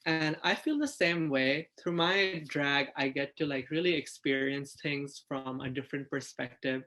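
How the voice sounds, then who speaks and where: Higher-voiced man, enthusiastic storyteller. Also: a bit bright. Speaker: drag artist storyteller. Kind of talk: picture-book reading and casual sharing.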